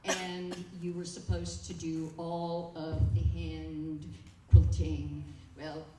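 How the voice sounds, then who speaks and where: A woman presenting informally at a club meeting, telling stories and taking questions in a halting, pausing way. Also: deep voice, monotone. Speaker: presenter at a quilt guild meeting. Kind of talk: informal talk and audience questions. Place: echoey meeting room. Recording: echoey, distant mic, faint room noise.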